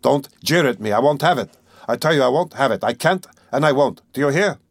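A man's voice: medium pitch, lively intonation, moderate pace, no echo. A man voicing a haughty, tormented young suspect in an audiobook, imperious and defiant. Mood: furious. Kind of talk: dramatic audiobook character reading.

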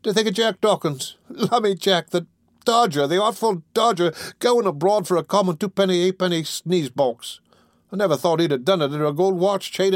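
A man voicing an excitable, cheeky young male pickpocket in a storybook reading, emphatic and slangy. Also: no echo, studio quality, lightly acted. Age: young adult. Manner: high, emphatic, slangy complaining and exclaiming.

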